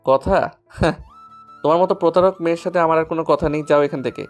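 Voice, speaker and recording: A man's voice: a little high, fast talker, soft background music, lightly acted. A man playing a proud, betrayed young lover in a romantic audio story. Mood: angry, hurt. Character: angry betrayed young male lover, proud but softening.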